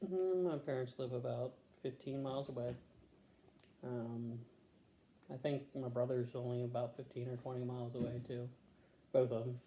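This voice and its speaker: A woman's voice: rumbling low, mellow. A middle-aged woman giving casual, laconic interview answers with a dry, joking manner. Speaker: interviewee answering health questions, a nurse. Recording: roomy echo, faint background noise.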